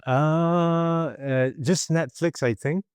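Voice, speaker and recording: Malaysian man chatting in a smooth voice. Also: fairly high pitch, steady tone, slow, no room sound, studio quality. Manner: chatty, many fillers and laughs. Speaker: guest, visual artist. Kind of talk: casual interview answers.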